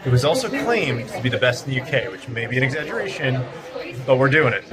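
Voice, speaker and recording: A man from North America hosting a casual travel vlog, chatty and jokey, talking excitedly about food and games. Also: medium pitch, quick pace, music in the background. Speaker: vlog host.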